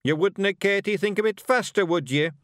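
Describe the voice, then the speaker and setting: A man voicing a small, gruff male pictsie clan chief with a Scottish accent, brusque and commanding. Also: high-pitched, brisk, fairly bright tone, no echo, studio quality, voice pushed up.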